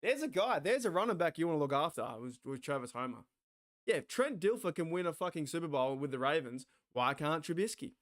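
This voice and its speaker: Australian man, slightly high-pitched, rambling, sweary, casual stream chat. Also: brisk. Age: 40s. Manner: excitable, rambling, swears freely, talks to chat. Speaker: watch party host.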